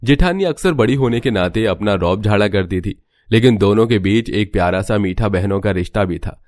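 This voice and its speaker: A man narrating a cartoon family story in a steady, scripted storytelling manner. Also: on the low side, brisk, no room sound, studio quality.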